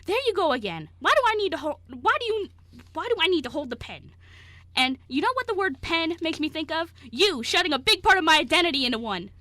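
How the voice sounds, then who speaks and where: A woman playing a cheeky, defiant eighteen-year-old queer young man in an amateur radio play, arguing in an animated way. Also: very high-pitched, fast, no room sound, exaggerated voice. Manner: animated, argumentative.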